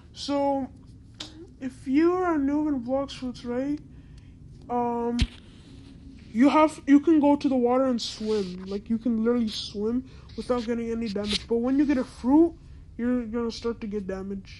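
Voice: medium pitch, a little slow, mellow, slight background noise, poor audio. A female-sounding voice through a voice changer, giving casual gameplay commentary in an exclamatory, incredulous, slangy and repetitive way. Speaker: gaming video host.

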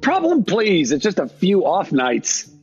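A hapless young male spy, nervous and evasive in a comedic script read. His voice is animated and husky as he stammers defensively. The audio is a little dull. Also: high voice, a little slow, faint music behind, full character voice.

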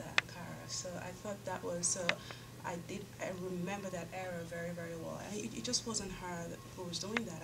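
A woman in her thirties talks about her work in a low, gravelly voice, pausing often with fillers and restarting her sentences. She is recorded from a distance in a showroom. A loud hiss runs under her voice throughout. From Nigeria. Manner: thoughtful, many fillers and restarts. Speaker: fashion designer guest. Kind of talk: interview answers. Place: indoor fashion showroom.

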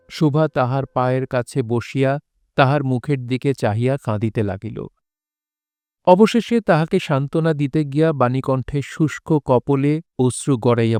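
A man narrating literary prose for an audio drama in a solemn, measured, dramatic way. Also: mid-range voice, darkish tone, no echo.

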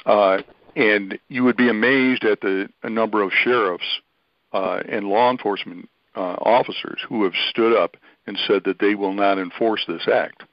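A man speaking as an organization's spokesman on a conference call, explaining in measured, courteous and careful phrases. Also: lowish voice, dry recording. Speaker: spokesman for an oath-keeping organization. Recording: dull band-limited phone line.

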